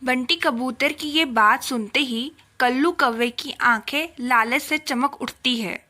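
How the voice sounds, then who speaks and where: A woman's voice: high-pitched, a little slow, pushed and bright. A woman narrating a scripted children's story.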